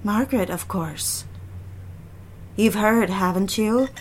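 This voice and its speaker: A woman's voice: medium pitch, slight background noise, lightly acted. A woman playing a troubled, drunken wife, bitter and suspicious, with a theatrical, mocking manner in an audio drama. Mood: needling, tipsy. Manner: theatrical, mocking, slow and needling.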